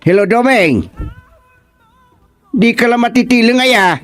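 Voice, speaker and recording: A man's voice: very high-pitched, unhurried, fairly bright tone, faint music behind. A man with an Ilocano accent playing a village husband who handles neighbours' complaints in a radio comedy drama. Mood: brisk, businesslike.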